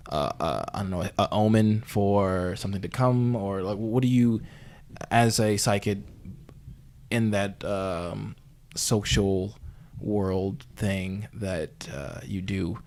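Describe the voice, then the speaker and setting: A man playing a bumbling, earnest talk-show host in an improvised comedy interview, with halting, rambling phrasing. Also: lowish voice, a little mellow. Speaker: podcast host.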